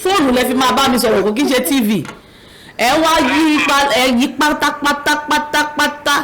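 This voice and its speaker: A Nigerian woman hosting a phone-in, briskly repeating her prompts and switching between Yoruba and English. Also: high-pitched, crowd noise. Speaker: radio-TV phone-in host. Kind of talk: phone-in chat.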